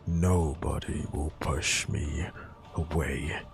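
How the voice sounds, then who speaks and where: An actor playing a gaunt, bitter, murderous plague doctor in a dark fantasy audio drama, with a gravelly, menacing, drawn-out delivery. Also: male voice, extremely low pitch, fairly even intonation, soft background music, heavily acted. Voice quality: gravelly, creaky.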